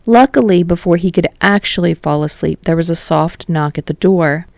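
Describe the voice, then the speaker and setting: A woman narrates a story in a scripted audiobook style. Her voice is on the low side with noticeable vocal fry. The recording is close and dry, without room sound. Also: moderate pace, a little mellow, no echo.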